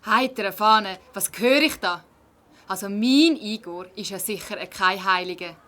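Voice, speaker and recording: A bright, forceful hedgehog mum played by a woman for a children's audio play. She speaks with a Swiss German accent in a folksy, emphatic way. She is chatty and stands up for her own. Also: mid-range voice, unhurried. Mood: indignant, defensive. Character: hedgehog mother, chatty, protective of her mischievous son.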